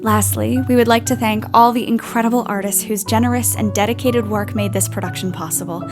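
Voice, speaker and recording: A woman with a medium-pitched voice reads a scripted introduction as artistic director of an audio play. Guitar music plays loudly behind her. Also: steady tone, brisk. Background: loud guitar music.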